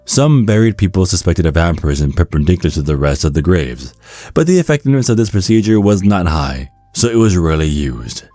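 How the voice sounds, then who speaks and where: A man giving a scripted ASMR narration in a measured, instructional storytelling manner. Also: deep voice, very animated, warm tone, dry recording, faint music behind. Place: close, dry recording with music behind.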